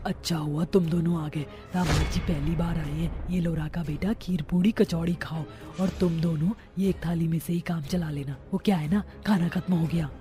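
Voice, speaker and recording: A woman's voice: fairly low pitch, fairly even intonation, moderate pace, hardly any pauses, natural voice. A woman voicing a scolding middle-aged witch mother in a cartoon story, with theatrical delivery. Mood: snide. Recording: music behind the voice.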